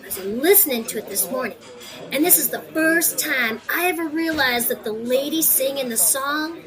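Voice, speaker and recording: A woman's voice: sits high, normal speed, lightly acted, rough recording. A woman playing a talkative, emphatic gangster in an audio drama. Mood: chatty. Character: chatty, incredulous, sociable gangster. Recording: busy crowd chatter behind.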